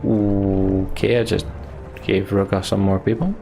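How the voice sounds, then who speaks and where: A man's voice: lowish voice, level tone, a little slow, creaky, mellow, faint music behind. A man hosting a let's play, reading game narration aloud and adding his own commentary.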